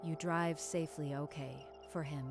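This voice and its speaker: A woman playing a calm, protective, guilt-burdened female driving instructor in a dubbed manga drama. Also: low-pitched, moderate pace. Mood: calm, caring. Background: music.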